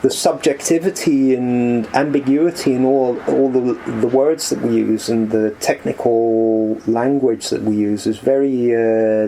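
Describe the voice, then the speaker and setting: A man talking as a podcast guest in a living room, reflective and halting, with frequent restarts as he thinks aloud. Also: medium pitch, mellow, slight background noise, low-quality recording. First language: English. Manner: thoughtful, halting, many restarts. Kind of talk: reflective conversational interview.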